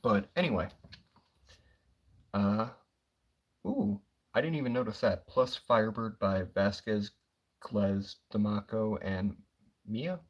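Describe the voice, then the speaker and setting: A young man talking quietly and casually on a livestream, rambling about comic books with lots of fillers. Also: on the low side, slow, pauses often, dull audio. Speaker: comic book streamer.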